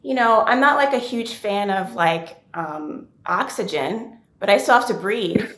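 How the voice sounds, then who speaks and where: Guest, woman, wry deadpan, echoey home room over video call. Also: mid-range voice, a little slow. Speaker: guest comedian. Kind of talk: casual chat.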